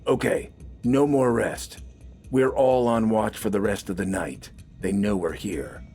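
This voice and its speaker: A man voicing a gruff, scornful army corporal in a science fiction story, battle-weary and heavily ironic. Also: on the low side, measured pace, warm tone, faint music behind, pitched above the performer's own voice. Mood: commanding. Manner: sardonic, heavily ironic, barking. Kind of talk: dramatized science fiction audiobook dialogue.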